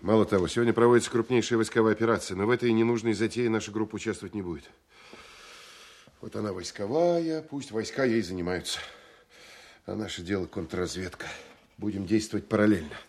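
An actor playing a tough, blunt and weary Soviet counterintelligence officer in a Russian radio drama, talking in a terse, gruff way. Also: male voice, fairly low pitch, quick pace, a little mellow. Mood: brisk, dismissive.

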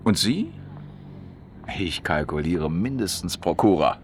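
A man's voice: low-pitched, animated, slow, a little hiss or hum. A man acting a chatty, down-to-earth repairman in a German radio play, colloquial, jovial and blunt. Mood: chatty, wry. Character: chatty down-to-earth washing-machine repairman, eager billiards player. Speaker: radio drama actor.

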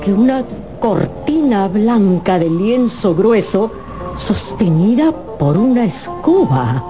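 A woman playing the solemn storyteller of a gothic radio drama, narrating in a deliberate, suspenseful way. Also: mid-range voice, very animated. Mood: suspenseful narration. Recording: music under the narration. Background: music.

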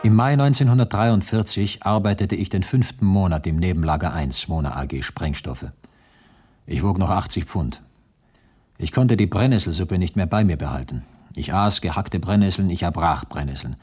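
Deep, husky camp survivor. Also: male voice, lively intonation, darkish tone. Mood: bleak, sober. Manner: sober, measured, precise. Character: male concentration camp survivor recounting his ordeal, grave, exact. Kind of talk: literary radio drama monologue.

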